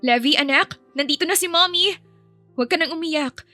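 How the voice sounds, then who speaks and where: A woman's voice: sits high, moderate pace, sharp tone, no room sound, soft background music, voice pushed up. A woman voicing a proud, polite young housemaid nanny in an audio romance, earnest and motherly. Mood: tender, soothing.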